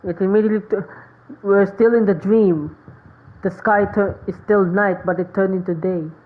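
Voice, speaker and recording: A woman's voice: mid-range voice, fairly even intonation, moderate pace. A woman streaming a game with casual commentary, sometimes reading the dialogue aloud. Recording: thin phone-like sound, background noise.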